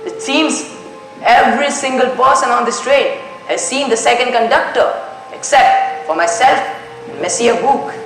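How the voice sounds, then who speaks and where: A teenage student acting a composed, methodical detective in a stage play, speaking formally and deliberately. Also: mid-range voice, a bit bright, close to an everyday voice. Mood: composed, probing. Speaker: student actor in a school play. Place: school stage, indoors. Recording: very echoey school stage, music behind.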